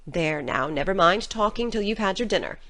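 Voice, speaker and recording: A woman's voice: medium pitch, animated, fairly quick, bright, forceful tone. A woman playing a stern, practical middle-aged farm guardian in an audiobook, with a dry, no-nonsense, scolding manner but a caring heart. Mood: dry, matter-of-fact. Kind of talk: dramatic audiobook reading.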